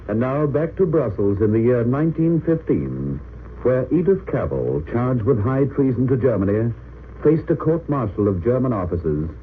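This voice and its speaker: A man's voice: medium pitch, lightly acted. A man acting as a grave, declamatory narrator of a wartime tale in 1930s radio drama style. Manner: solemn, declamatory. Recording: tinny, noisy old radio recording.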